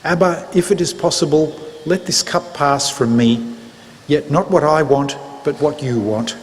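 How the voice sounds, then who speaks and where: A man reading the part of Jesus as a grave, resolute teacher in a solemn, measured dramatic scripture reading. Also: fairly high pitch, lightly acted. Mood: grieved, pleading. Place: church. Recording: reverberant church hall, background noise.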